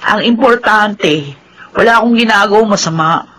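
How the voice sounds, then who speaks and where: Radio drama: an earnest tomboyish young woman, even-toned. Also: mid-range voice, normal speed, poor audio. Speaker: lead character. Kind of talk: Filipino radio drama acting.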